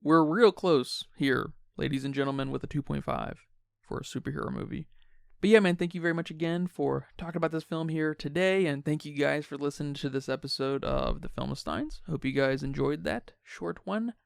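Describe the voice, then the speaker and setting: A man's voice: a little high, a little fast, gravelly, clean tone, no echo, studio quality. A man hosting a podcast, casually discussing a film and addressing his listeners. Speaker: podcast host.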